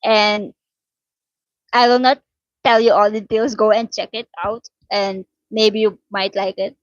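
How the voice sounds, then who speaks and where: A woman in her twenties from Suriname, whose first language is Dutch, chatting casually with viewers, unhurried and with many fillers and restarts. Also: mid-range voice, level tone, no room sound, dull audio. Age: 20s. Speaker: livestream host answering viewer questions. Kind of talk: casual chat.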